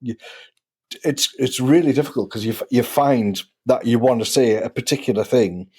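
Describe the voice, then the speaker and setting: A man's voice: medium pitch, normal speed, darkish tone, breathy edge. A man hosting a podcast in a casual, conversational way, encouraging and enthusiastic toward the guest. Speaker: podcast host.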